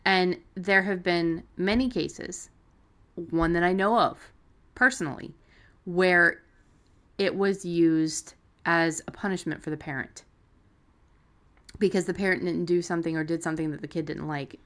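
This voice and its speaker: Woman, lower-pitched, casual chat, blunt, salty-tongued, podcast co-host. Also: fairly even intonation, a little slow, long pauses, fairly bright tone. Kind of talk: casual unscripted discussion.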